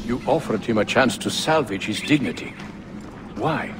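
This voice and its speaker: An actor playing a wise, fatherly older male mentor who speaks in a gentle, reflective way. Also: medium pitch, animated, normal speed, noisy background, lightly acted. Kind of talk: video game cutscene acting. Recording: game score under the dialogue.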